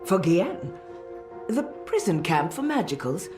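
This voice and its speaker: A woman's voice: lowish voice, sing-song, unhurried. An actress playing a grave, stately older mother and leader in a video game. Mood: grave doubt. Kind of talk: video game cutscene acting. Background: background music.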